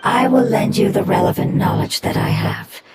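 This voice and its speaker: A performer voicing a small forest spirit creature in a video game, who speaks in a formal, solemn, breathy way. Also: medium pitch, normal speed, natural voice. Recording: loud game soundtrack playing behind. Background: loud game soundtrack music.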